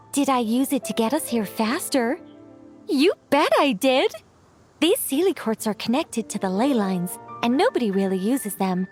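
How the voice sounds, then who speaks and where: A whimsical female mage from a video game, speaks in a high, youthful voice. She is composed and musing, dodging questions playfully and taking her time. Soft music plays behind her. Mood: breezy, cheerful. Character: whimsical, composed female mage, once an angel, young-sounding. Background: background music.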